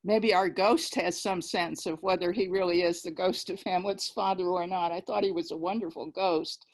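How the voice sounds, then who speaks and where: A woman's voice: lowish voice, steady tone, normal speed. A woman speaking emphatically in an informal literary discussion on a video call.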